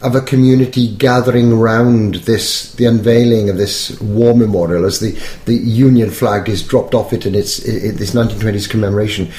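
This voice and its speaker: A middle-aged or older man with an educated Welsh accent, a poet reflecting in an interview in a thoughtful, halting, self-correcting way. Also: fairly low pitch, steady tone, mellow. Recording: echoey room.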